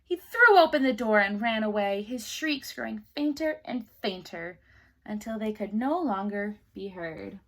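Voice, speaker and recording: A woman's voice: mid-range voice, animated, a little slow. A woman teacher reading a story aloud to children, expressively, with chatty questions to the listeners.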